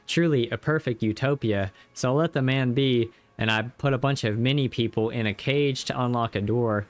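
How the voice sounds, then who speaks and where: A man narrating a casual scripted recap of a video game, with tongue-in-cheek exaggeration. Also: mid-range voice.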